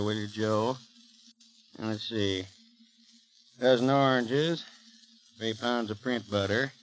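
An actor playing a folksy, chatty, obliging corner grocer in a 1930s radio advertisement, homespun and confiding. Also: male voice, a little high, fairly bright tone. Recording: noisy old 1930s radio recording.

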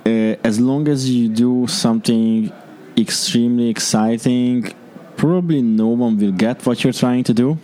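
A man's voice: mid-range voice, unhurried, dark tone. A young man from Hungary with a Hungarian accent, speaking English as a second language, answering interview questions in an earnest way and searching for words. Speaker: startup founder.

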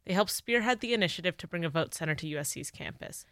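Smooth-voiced young woman reporter. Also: on the low side, expressive, fast talker, a bit bright, no room sound, studio quality. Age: young adult. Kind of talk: scripted news report.

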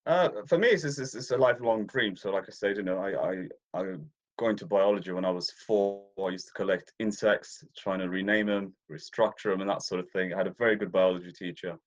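A man's voice: low-pitched, brisk. A man from Ethiopia, a deer stalker as interview guest, talking casually with many fillers and restarts. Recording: dull lo-fi audio.

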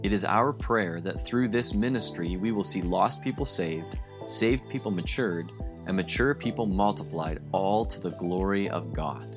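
A man reading a formal scripted church announcement at a measured pace. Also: sits low, over music.

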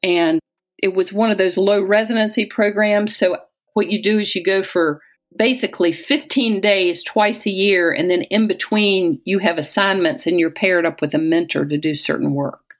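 A woman's voice: medium pitch, steady tone, moderate pace. An American woman in her sixties from Southwest Virginia, telling personal stories conversationally, as if in an interview, often quoting people she has known. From Southwest Virginia, United States. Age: 60s. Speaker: interviewee, retired-age physician and poet.

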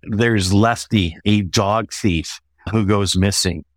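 A man's voice: on the low side, slow pace, no echo. Male author in a dry, close interview. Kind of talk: interview conversation about own writing.

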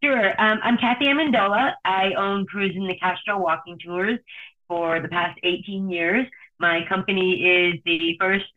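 A woman with a New Jersey accent speaks over a thin, tinny phone line. She owns a tour company and answers interview questions in a steady, storytelling way. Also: mid-range voice, unhurried. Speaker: tour company owner, guest.

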